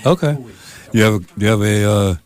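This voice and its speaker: A man's voice: lowish voice, brisk. A man chatting casually as a radio host. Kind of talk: casual chat.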